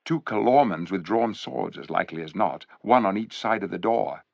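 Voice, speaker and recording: A man voicing a steady, calm male king who whispers reassurance in a storybook reading. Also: on the low side, normal speed, creaky, no room sound. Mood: hushed steady. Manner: hushed, steady. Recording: dull lo-fi recording.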